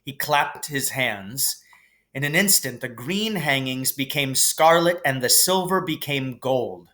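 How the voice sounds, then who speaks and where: A man reading a children's novel aloud as an expressive storybook narrator. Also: medium pitch, a little slow.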